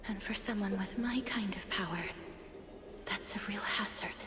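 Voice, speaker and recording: A woman playing a gentle, reserved, wistful woman in a dramatic video game, speaking softly and formally. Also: mid-range voice, lightly acted. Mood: gentle, regretful. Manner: slow, tender, formal. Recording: echoey, loud music underneath. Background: loud background music.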